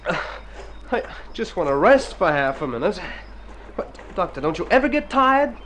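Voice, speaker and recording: A man's voice: high voice, sing-song, moderate pace, a bit bright, slight background noise. An actor playing an earnest, plaintive convalescing city man in a radio drama, theatrical and wordy. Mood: weary, complaining. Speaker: storyteller and lead character in a radio play. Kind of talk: Golden Age radio drama acting. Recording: old radio recording with music.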